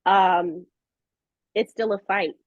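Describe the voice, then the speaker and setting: An American woman chatting casually as an interview guest, expressive, earnest and effusive in how she talks. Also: medium pitch, slow, no room sound, muffled recording. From California, USA. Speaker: voice actress, podcast guest.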